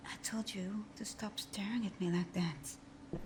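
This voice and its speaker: A woman playing a supervillain thief whose teasing bravado hides a timid, wounded heart, in a breathy, often whispered, intimate ASMR roleplay style. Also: medium pitch, steady tone, unhurried, close to an everyday voice. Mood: guarded, flustered. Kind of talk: ASMR roleplay audio drama.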